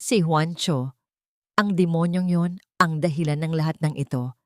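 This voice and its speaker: Woman as anguished stepmother, broken sorrowful voice, pleading, audio drama, low pitch, dry close sound. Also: normal speed, dry recording, natural voice. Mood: bitter, anguished. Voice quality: broken, sobbing voice. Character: weeping, guilt-stricken stepmother pleading with her daughter.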